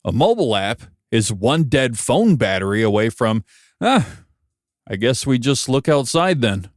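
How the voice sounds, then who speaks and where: An American man giving conversational solo commentary as a podcast host, wry and emphatic, pausing for effect. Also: mid-range voice, very animated, dry recording, studio quality. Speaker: podcast host and broadcast engineer.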